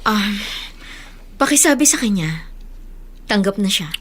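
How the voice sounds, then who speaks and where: A woman's voice: mid-range voice, lively intonation, a little slow, fairly bright tone. An actress playing a troubled lady employer in a Tagalog radio drama. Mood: subdued. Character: troubled lady of the house, employer with a painful past.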